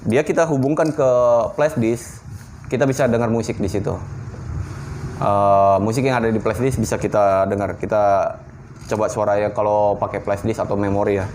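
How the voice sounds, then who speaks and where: A man's voice: lowish voice, steady tone, moderate pace, darkish tone, slight background noise. A man, giving an informal, slangy, unscripted hands-on product review. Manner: informal, slangy, talking through a hands-on demonstration.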